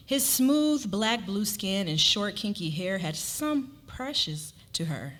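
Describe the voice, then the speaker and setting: A woman's voice: mid-range voice, fairly bright tone. A woman narrating a story aloud in a dramatic reading.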